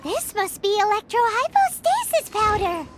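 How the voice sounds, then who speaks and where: A woman voicing a small, cheeky, excitable fairy companion girl in a video game, with a squeaky, childlike, pouty delivery. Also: normal speed. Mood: cheerful. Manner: speaks of herself in third person, bubbly, pouty. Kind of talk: video game character acting. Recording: music behind the voice. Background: game music.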